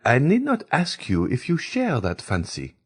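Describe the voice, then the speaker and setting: A man voicing a composed, gracious society lady in a measured, raised falsetto, reading an audiobook. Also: wildly swooping pitch, breathy edge, exaggerated voice. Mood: concerned.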